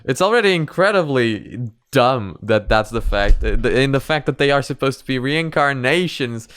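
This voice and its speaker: A man's voice: medium pitch, animated, moderate pace, fairly bright tone, smooth, no echo. A man hosting a reaction video, giving chatty, casual commentary with apologetic asides.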